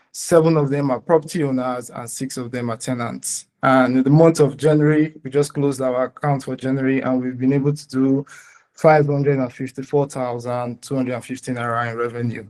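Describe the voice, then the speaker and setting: Male startup founder, Nigerian accent, mid-pitched, explaining his business live with hesitant phrasing. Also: fairly even intonation, normal speed, a little mellow. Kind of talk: live pitch and question answering.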